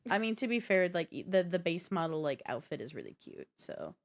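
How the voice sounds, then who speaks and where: A woman with a slightly low voice co-hosts a gaming show. She chats casually and works through explanations in a rambling way, often stepping aside to add detail. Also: very animated, a little fast. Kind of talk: casual explanatory chat about video games.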